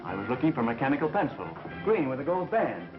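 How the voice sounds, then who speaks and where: A fussy, apologetic actor as a man speaks with exaggerated politeness, his pitch swinging widely. It is 1930s film acting on a muffled, roomy soundtrack with music behind. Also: big pitch swings, quick pace, close to an everyday voice.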